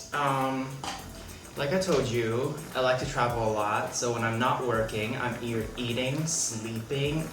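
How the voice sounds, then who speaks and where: A young man from Hong Kong chatting playfully while he cooks in his small apartment. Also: medium pitch. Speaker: vlogger, home cook. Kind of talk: casual cooking vlog chat. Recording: echoey room with music behind.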